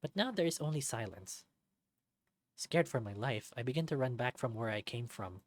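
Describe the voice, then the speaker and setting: A man reading a visual novel aloud as narrator, in an expressive, dramatic storybook style. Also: medium pitch, moderate pace, no echo.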